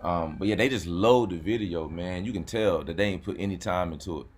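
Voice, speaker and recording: Deep-voiced laid-back man. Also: brisk, darkish tone. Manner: laid-back, repeats words, says "man" a lot. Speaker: YouTube car channel host. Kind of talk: casual chat.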